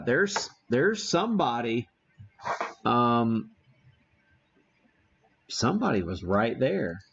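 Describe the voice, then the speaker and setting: A man giving a casual live demo as an amateur radio streamer, talking haltingly as he thinks aloud while working the gear. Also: mid-range voice, vocal fry, a bit bright, dull audio.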